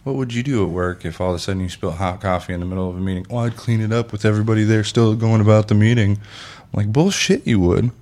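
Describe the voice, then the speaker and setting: Very deep-voiced man telling animated stories. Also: quick pace. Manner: animated storyteller, acts out quotes, swears freely. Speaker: podcast host. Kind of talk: casual chat.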